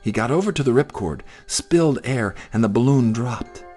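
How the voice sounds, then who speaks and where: A man narrating a children's story in an expressive, theatrical way. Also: mid-range voice, normal speed, faint music behind. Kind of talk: dramatic children's story reading.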